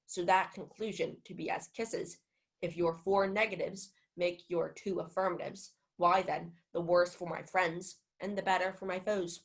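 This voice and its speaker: A woman's voice: lowish voice, fairly even intonation, normal speed, lightly acted, poor audio. A young woman playing a witty, wheedling household clown in a radio-style Shakespeare play. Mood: cheeky, wheedling. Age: young adult.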